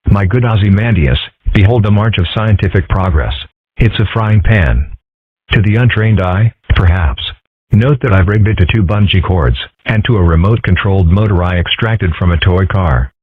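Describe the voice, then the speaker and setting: A man's voice: sits low, animated, moderate pace, breathy edge, no room sound. A man voicing a precocious young fox boy in an amateur comic dub, speaking in a formal, dry, overly articulate way. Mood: deadpan. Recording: dry, tinny phone-like recording.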